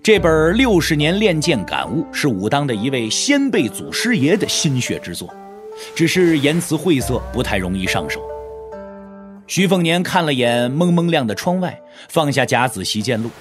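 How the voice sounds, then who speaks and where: A man's voice: on the high side, wildly swooping pitch, normal speed, over music. A man narrating a martial arts audio drama in a dramatic, scripted storytelling style.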